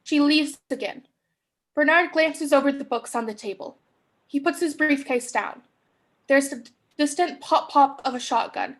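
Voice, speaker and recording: High-voiced young woman narrating. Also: unhurried. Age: young adult. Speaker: stage directions narrator. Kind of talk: scripted narration for a play reading.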